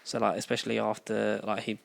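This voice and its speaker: A man's voice: lowish voice, monotone, quick pace, creaky. Rambling man on a podcast. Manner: rambling, lots of "like" and "um". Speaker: podcast co-host. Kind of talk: casual chat.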